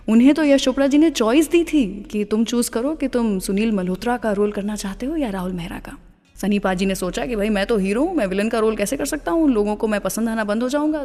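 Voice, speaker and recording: A woman's voice: medium pitch, a little mellow, long reverb tail. An Indian woman hosting a radio show in a conversational storytelling style, slipping English phrases into her talk. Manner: mixes English phrases into speech. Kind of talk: conversational radio storytelling about film music.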